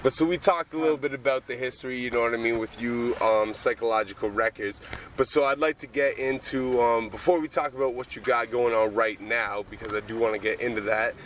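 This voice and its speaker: A man's voice: mid-range voice, a little fast, a bit bright, distant mic. A man, interviewing casually for hip-hop radio in slangy, agreeable speech. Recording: faint outdoor traffic noise.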